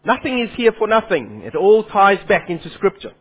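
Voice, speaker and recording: A man's voice: high voice, sing-song, normal speed, slightly rough. A man preaching a Bible sermon, his Afrikaans, who poses questions to his listeners and then answers them. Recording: phone-like lo-fi sound.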